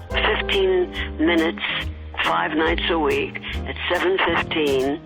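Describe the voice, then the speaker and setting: Older woman, interview subject, chatty and anecdotal, gravelly. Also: on the low side, a bit bright, rough recording. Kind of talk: reminiscing interview.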